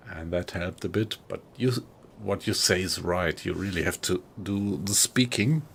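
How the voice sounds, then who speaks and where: A middle-aged man from Germany with a German accent, chatting in a friendly, halting way with viewers on a live stream. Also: low-pitched, gravelly, slight background noise. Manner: thoughtful, friendly, halting with fillers.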